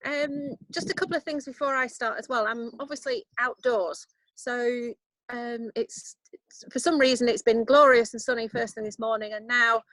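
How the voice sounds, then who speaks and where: A woman's voice: a little high, steady tone, moderate pace, fairly bright tone, no echo, poor audio. A woman with a Yorkshire accent giving a casual composting workshop outdoors over a video call, chatty and self-deprecating with lots of ums. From Yorkshire, England. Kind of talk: casual online workshop talk. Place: outdoors in a garden.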